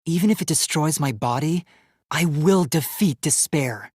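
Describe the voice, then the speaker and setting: A man voicing an earnest, determined and idealistic young male robot in a dramatic video game, with a youthful, light, slightly husky voice and a formal, emphatic delivery. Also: fairly high pitch, measured pace, darkish tone, no room sound, clean studio sound. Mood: fierce, defiant.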